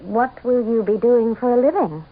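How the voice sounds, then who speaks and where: Radio play, haughty glamorous wife, higher voice, very animated pitch, thin old broadcast sound. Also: female voice, normal speed. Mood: cool, coy. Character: vain, cold, glamorous governor's wife, scheming and haughty. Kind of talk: radio drama acting. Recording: tinny, faintly noisy old radio recording.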